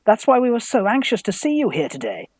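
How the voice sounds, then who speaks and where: An actor playing an earnest, anxious young gentleman in love, polite, in a Victorian radio farce. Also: high voice, huge pitch swings, fast talker, breathy edge, no echo, clean studio sound, heavily acted. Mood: earnest courting. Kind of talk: radio play farce acting.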